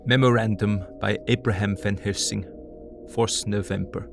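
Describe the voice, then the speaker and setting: An actor playing an elderly, earnest, grave foreign professor who narrates his diary in deliberate broken English for an audio drama. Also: lowish voice, steady tone, vocal fry, music in the background. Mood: grave, uneasy.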